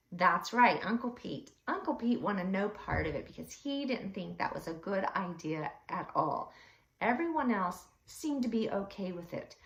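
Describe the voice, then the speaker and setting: Animated woman storyteller in an echoey room. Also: medium pitch. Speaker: read-aloud storyteller for schoolchildren. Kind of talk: expressive children's story read-aloud. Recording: echoey room, slightly distant mic.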